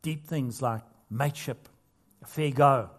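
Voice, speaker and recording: Guy preaching a sermon, earnest, gets loud on the big points, chatty asides. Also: mid-range voice, a little slow. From not Australia. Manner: earnest preaching with rising emphasis and casual asides. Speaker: pastor.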